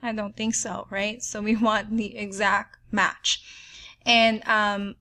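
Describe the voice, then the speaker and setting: Bright-voiced Filipino woman teaching spreadsheets. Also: medium pitch, level tone, takes it slowly. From Philippines. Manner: step-by-step, frequent "okay" and "um", talks to the viewer. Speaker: spreadsheet tutorial host. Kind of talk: screen-recorded software tutorial.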